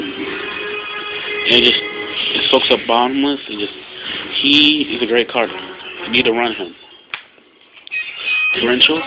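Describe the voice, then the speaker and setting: Muffled man explaining over loud music. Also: medium pitch. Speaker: card game video creator presenting his deck. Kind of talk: casual unscripted card-by-card explanation. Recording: muffled lo-fi home recording, loud music behind.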